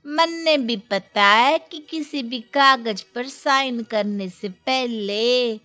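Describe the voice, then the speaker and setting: A storyteller playing a feisty young nomad bride in an audio folk tale. She is defiant and protective, drawing out her rustic words in a raised pitch, slowly and tauntingly, over background music. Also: bright, forceful tone. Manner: rustic dialect, drawn-out taunting delivery.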